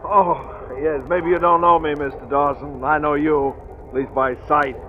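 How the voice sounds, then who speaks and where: A man's voice: a little high, steady tone, measured pace, phone-quality sound, close to an everyday voice. An actor playing a genial, chatty businessman neighbor in a 1940s radio drama, mannered and jovial. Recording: thin, noisy old radio recording.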